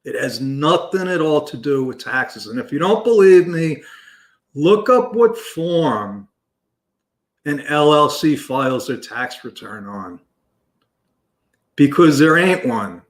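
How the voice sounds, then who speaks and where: A man hosting a business show, talking loudly and passionately with emphatic restarts. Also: fairly high pitch, sing-song, slow pace, roomy sound. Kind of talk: unscripted talk to camera.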